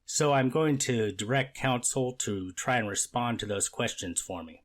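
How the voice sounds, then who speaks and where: A man playing a deliberate, fact-minded judge in a courtroom readers theater, speaking in a formal, measured and slightly halting way. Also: medium pitch, close to an everyday voice. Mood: concerned, firm. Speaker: judge reading and questioning counsel.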